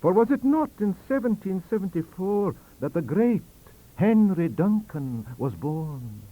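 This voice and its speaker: Shouting, high-pitched indignant Scottish professor. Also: male voice, sing-song, unhurried, heavily acted, rough recording. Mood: proud, excited. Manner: bellowing, declamatory. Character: shouting, indignant Scottish male professors and librarian, combative, scornful.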